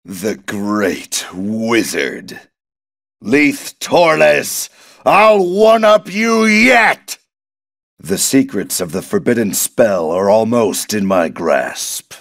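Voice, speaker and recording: Slow, ruthless wizard villain. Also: male voice, lowish voice, big pitch swings, a bit bright, no echo, clean studio sound, heavily acted. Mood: power hungry. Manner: theatrical, menacing, deliberate. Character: obsessed male wizard villain, bitter and ruthless. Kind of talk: video game villain acting.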